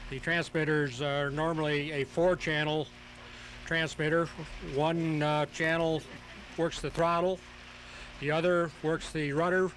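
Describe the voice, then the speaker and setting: A man being interviewed on radio as a hobbyist, explaining things step by step in a halting, methodical way. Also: fairly high pitch, a bit bright. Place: outdoors at a flying field. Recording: noisy home tape of outdoor radio broadcast.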